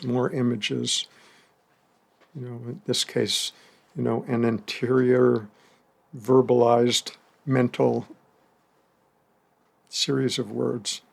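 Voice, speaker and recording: A man leading a guided meditation, speaking slowly and gently with long pauses and the odd soft laugh. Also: medium pitch, gravelly. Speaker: meditation teacher.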